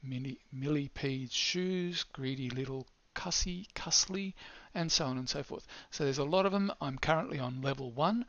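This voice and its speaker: An older man presenting an unscripted screencast walkthrough of educational software. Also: fairly high pitch, moderate pace, dry recording. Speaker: presenter of an educational technology demo. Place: small indoor room. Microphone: headset. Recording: slightly dull, band-limited headset audio.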